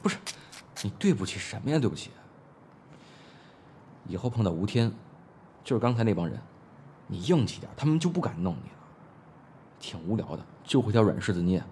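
A man's voice: on the low side, sing-song, fairly quick, long pauses, darkish tone, a little hiss or hum. A young man acting in a Chinese audio drama as a brash, impatient but protective schoolboy with a blunt, slangy way of talking. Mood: gruff, protective.

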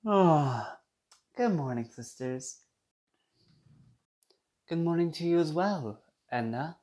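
A woman's voice: low voice, animated, slow pace, mellow, heavily acted. A woman doing a prim old sister. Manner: primly scolding, proper. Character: prim eighty-five-year-old sister, prim and scolding.

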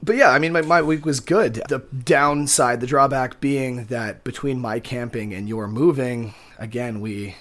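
Wry, rambling male podcast co-host, mid-pitched. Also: fairly even intonation, normal speed, few pauses, fairly bright tone. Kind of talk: casual conversational storytelling.

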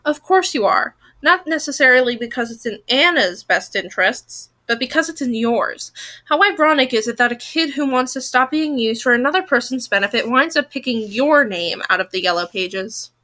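A woman voicing an indignant, sharp-tongued female character in a novel, pointed and reproachful. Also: fairly high pitch, expressive, normal speed. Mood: sarcastic, reproachful.

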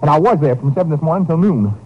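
Defensive country suspect, talks fast, husky, old radio drama. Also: male voice, on the high side, animated. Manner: defensive, colloquial. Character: defensive rancher suspect with a criminal record. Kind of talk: 1950s radio drama acting. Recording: dull 1950s radio recording.

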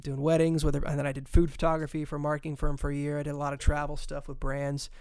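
A man's voice: on the high side, monotone, fast talker, a little mellow, smooth, no echo, studio quality. A man from Central Florida, a podcast guest, speaking in an easygoing, self-deprecating casual chat.

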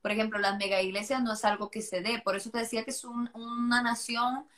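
A woman's voice: on the high side, level tone, fast talker, a bit bright. A woman from Costa Rica with a mixed Costa Rican and Spanish accent, chatting casually and animatedly about her missionary work. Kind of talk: casual conversation.

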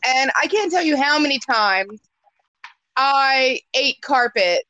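A woman's voice: high voice, animated, slow pace, bright, forceful tone. A woman co-hosting in casual chat, blunt and joking with salty language. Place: remote phone call. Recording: dull, lo-fi phone call audio.

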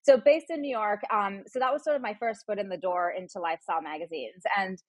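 Fast-talking woman telling her story. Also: mid-range voice, dry recording. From United States. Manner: quick, chatty storytelling with frequent "like". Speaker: podcast guest, journalist. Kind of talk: casual interview conversation.

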